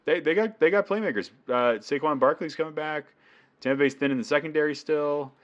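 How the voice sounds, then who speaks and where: A man's voice: on the high side, a little fast, highs cut off. A man with a New England accent co-hosting a casual sports podcast, chatty and laughing often. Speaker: podcast co-host.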